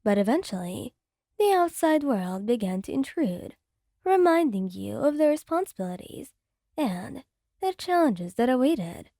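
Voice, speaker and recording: A woman narrating a romantic fanfic as an ASMR reading, in a soft, gentle storytelling voice into a close mic. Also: on the high side, sing-song, a little slow, dry recording.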